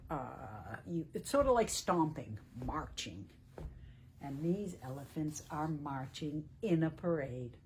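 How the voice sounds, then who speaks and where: A woman reading a picture book aloud to young children in a deliberate, teacherly way, asking questions as she goes. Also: lowish voice, warm tone, a little hiss or hum. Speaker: children's storytime reader at a library.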